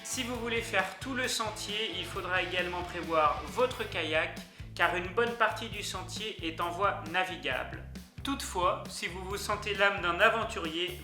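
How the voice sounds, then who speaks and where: A young man narrating a travel list video in an upbeat way, speaking directly to his viewers. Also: sits high. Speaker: YouTuber. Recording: echoey room with music underneath.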